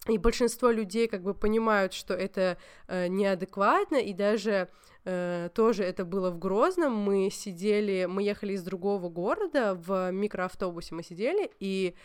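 A young woman chatting casually, telling animated stories full of fillers and asides. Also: mid-range voice, smooth. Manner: animated storytelling, many fillers. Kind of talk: casual chat.

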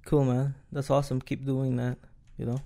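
A man's voice: mid-range voice, monotone, normal speed, creaky, mellow. A man hosting a casual interview, encouraging his guest and asking follow-up questions. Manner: encouraging, lots of short backchannel replies. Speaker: host, interviewer.

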